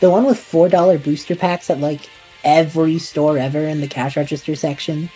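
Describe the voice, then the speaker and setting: A woman's voice: low voice, fairly even intonation, normal speed, dark tone, soft background music, natural voice, poor audio. Treff, a sarcastic traveler, voiced by a woman. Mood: incredulous. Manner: sarcastic, incredulous. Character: Treff, a bewildered young male traveler. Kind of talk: fan audio drama acting.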